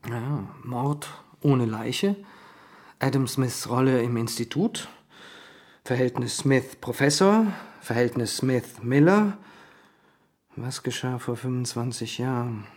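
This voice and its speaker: A methodical reporter in a radio play. The voice sits low for a woman and is businesslike, ticking off points in short, clipped phrases. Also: lively intonation, slow, darkish tone, exaggerated voice. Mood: methodical, focused. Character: brisk, methodical investigative journalist. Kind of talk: radio drama dialogue.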